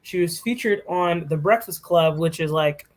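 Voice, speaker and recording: A woman's voice: lowish voice, normal speed, a little mellow. A young woman co-hosting a podcast, giving a casual, chatty rundown full of fillers and restarts. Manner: chatty, filler-heavy, self-correcting. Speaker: podcast co-host. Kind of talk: casual presentation.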